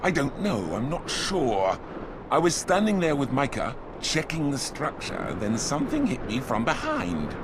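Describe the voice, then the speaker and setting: An actor playing a sly, argumentative, whining soldier in a dramatic fantasy video game. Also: male voice, medium pitch, huge pitch swings, a little slow, noisy background. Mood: shaken. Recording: music behind the voice.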